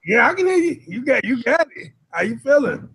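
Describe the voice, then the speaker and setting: High-pitched man, loose slangy host. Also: big pitch swings, a little fast, creaky, a bit bright, husky, rough recording. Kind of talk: casual chat.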